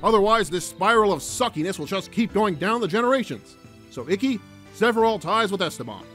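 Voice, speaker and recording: Man as a high-voiced grumpy cartoon dad. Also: lively intonation, fairly quick, a bit bright, faint music behind. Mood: earnest, sardonic. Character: grumpy, weary father trying to reconcile with family. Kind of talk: cartoon parody voice acting.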